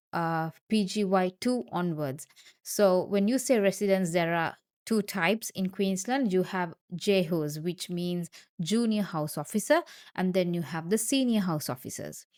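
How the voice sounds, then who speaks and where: Woman from Sri Lanka explaining patiently. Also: lowish voice. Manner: patient, repeats key terms, speaks directly to viewers. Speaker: doctor explaining job applications. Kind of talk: informal explainer video. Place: Queensland, Australia.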